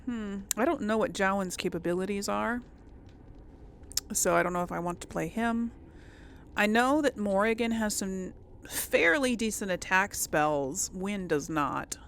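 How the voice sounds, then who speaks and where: A woman's voice: medium pitch, unhurried, vocal fry, a bit bright, a little hiss or hum. A woman hosting a let's play, commenting casually on a game and hesitantly thinking aloud.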